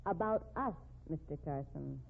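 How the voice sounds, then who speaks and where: A woman's voice: deep voice, lively intonation, moderate pace, vocal fry, full character voice. A woman playing a brazen, scheming office embezzler in a 1950s radio mystery, sassy and slangy. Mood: accusing. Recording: tinny narrowband 1950s radio recording.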